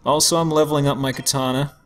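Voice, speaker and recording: A man giving casual, somewhat halting commentary as host of a gameplay video, with a self-deprecating manner. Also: medium pitch, steady tone, a bit bright. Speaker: let's play host. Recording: game music behind voice. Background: video game music.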